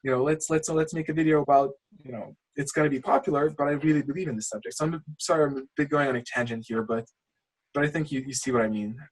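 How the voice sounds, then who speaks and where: A man from Lithuania speaks English as a second language in a husky voice. He talks at a middle pitch over a video call. He coaches in a rambling, passionate way and restarts his sentences often. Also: steady tone, fast, poor audio. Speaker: channel coach. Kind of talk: casual screen-share coaching call.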